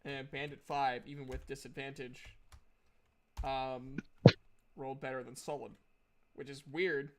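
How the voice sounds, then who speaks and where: A man's voice: mid-range voice, flat delivery, measured pace, pauses often, fairly bright tone. A man narrating and refereeing a tabletop fantasy game as game master in an informal, casually sweary way.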